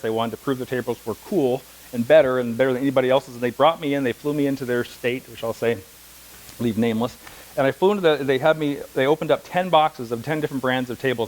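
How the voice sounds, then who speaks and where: Middle-aged male instructor, mid-pitched, rapid, anecdote-heavy classroom talk. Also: poor audio. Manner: conversational, rambling with anecdotes and asides. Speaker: massage instructor. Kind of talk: classroom lecture with demonstrations. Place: classroom, speaking unamplified.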